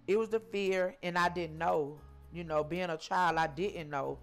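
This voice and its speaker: A woman in her forties tells her life story in an interview, her voice sitting on the lower side. She stops and starts, circles back and repeats herself, filling gaps with ums. Faint music plays behind her. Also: a little slow. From Georgia, US. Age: 40s. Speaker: interview guest.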